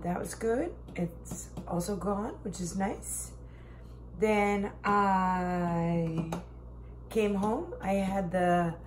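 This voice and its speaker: A woman in her forties talks through her day in a relaxed, rambling video diary, her voice slightly hoarse from a sore throat. She speaks to a camera at arm's length in her home kitchen. There is faint background noise. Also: mid-range voice. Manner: chatty, rambling, self-deprecating. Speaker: diet vlogger.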